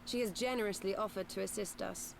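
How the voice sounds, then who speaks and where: A woman's voice: mid-range voice, steady tone, fairly quick, creaky, noisy background, close to an everyday voice. A woman playing a composed, courteous noblewoman in a fantasy video game, with formal, gracious, old-fashioned phrasing.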